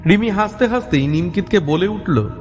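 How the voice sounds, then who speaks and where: Higher-pitched male horror narrator in reverb. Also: lively intonation, moderate pace, a little mellow, faint music behind. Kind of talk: scripted horror story narration. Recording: long reverb.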